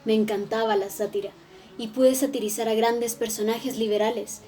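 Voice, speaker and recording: A woman's voice: on the high side, fairly even intonation, normal speed, dark tone, faint music behind, lightly acted, low-quality recording. A woman playing a frank, witty 19th-century writer. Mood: chatty. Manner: chatty, addresses the audience directly. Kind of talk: first-person historical monologue.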